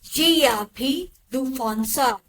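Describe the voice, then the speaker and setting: A woman modelling sentences for a language lesson, enunciating each phrase clearly and evenly. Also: high voice, slow, fairly bright tone. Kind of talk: slow model repetitions of sentences.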